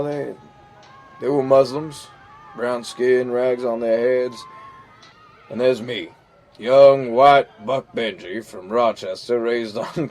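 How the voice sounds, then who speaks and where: An actor playing an earnest, plain-spoken army veteran, telling his story in a reflective audio drama monologue with halting pauses over music. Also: mid-range voice, a little mellow, natural voice. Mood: frank, wry. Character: weathered American army veteran, once a hot-tempered youth, earnest.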